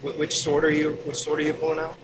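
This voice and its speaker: A man with a fairly high voice talks casually as a player in a tabletop game. He explains and advises his fellow players, with music clearly audible behind him. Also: monotone, fast, poor audio. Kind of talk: casual game strategy chat.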